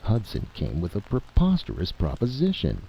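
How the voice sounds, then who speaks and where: A man's voice: on the low side, normal speed, creaky, pitched above the performer's own voice, full character voice. A man voicing a skeptical, nasty State Department official with pointed sarcasm in a story reading, on a dull lo-fi recording. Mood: snide. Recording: dull lo-fi audio with steady hiss.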